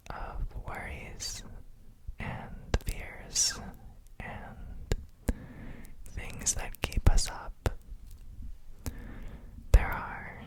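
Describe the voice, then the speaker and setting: Female ASMR guide: all whisper, extremely slow and unhurried, breathy and soothing, for sleep relaxation. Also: rough recording. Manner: gentle, soothing, unhurried with frequent pauses. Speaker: ASMR host guiding relaxation.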